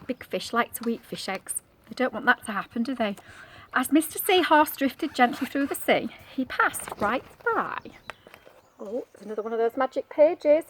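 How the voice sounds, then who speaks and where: Animated high-voiced woman reading aloud. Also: a little hiss or hum. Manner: expressive, coaxing with questions and exclamations. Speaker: teacher reading a picture book to young children. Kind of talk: animated storybook read-aloud with asides to children.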